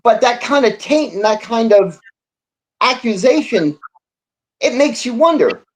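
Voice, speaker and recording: A man talking sports casually as a panelist, reflective and halting, often repeating words as he builds a point. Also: very high-pitched, expressive, low-quality recording. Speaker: sports talk show co-host or guest.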